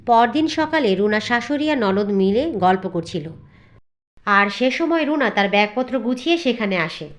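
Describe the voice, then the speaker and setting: Fairly high-voiced woman narrating a story. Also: animated, moderate pace. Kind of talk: scripted cartoon story narration.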